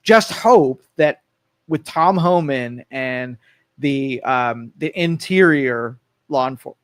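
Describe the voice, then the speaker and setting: A man co-hosting a casual political talk show, animated and quick to act out what others might say. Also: mid-range voice. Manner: animated, acts out quoted voices.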